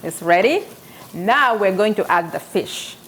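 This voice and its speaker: A woman's voice: medium pitch, animated, measured pace, slight background noise, low-quality recording. A woman in her thirties from South Sudan, with a South Sudanese accent, hosting a live cooking show from her home kitchen and telling stories as she cooks. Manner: chatty, storytelling while cooking. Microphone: camera on tripod, medium distance.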